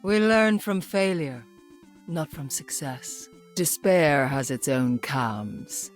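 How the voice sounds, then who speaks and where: An arrogant Irish woman scientist, villainous and cool in a video game. She speaks slowly and deliberately with measured disdain, and faint music plays behind her. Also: low voice, lively intonation. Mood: grave, musing. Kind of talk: video game character voice acting.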